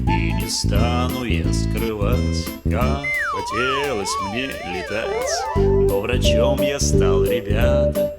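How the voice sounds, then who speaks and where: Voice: mid-range voice, heavily acted. A performer playing a kindly grown-up male doctor who sings a jaunty, instructive children's cartoon song. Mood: fond, instructive. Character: kindly singing doctor, a grown man, gently moralizing. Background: loud children's music with guitar.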